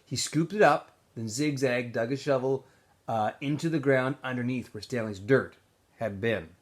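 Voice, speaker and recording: A man's voice: medium pitch, moderate pace. A man reading a children's novel aloud as a teacher would, in an informal way with small stumbles and self-corrections.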